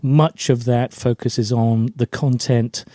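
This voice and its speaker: A man's voice: medium pitch, fairly even intonation, takes it slowly, mellow, no room sound, clean studio sound. A middle-aged man from Germany, raised in a British forces family, giving chatty, anecdotal answers as an interview guest. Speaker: podcast guest, LinkedIn brand coach.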